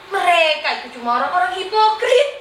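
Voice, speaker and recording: A young woman acting a boastful, mocking self-styled artist in a theatrical stage monologue, exaggerated and taunting, with drawn-out, singsong words. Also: extremely high pitch, big pitch swings, a bit bright, heavily acted. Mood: mocking, scornful. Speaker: student stage actor in a monologue competition. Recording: roomy echo, faint background noise.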